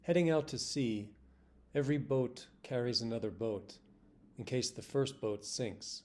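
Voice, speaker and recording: A man's voice: medium pitch, a little slow, darkish tone. A man reads poetry in a mid-range voice, phrase by phrase. A faint wash of ambient noise sits behind him. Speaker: poet reading own work. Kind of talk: poetry reading.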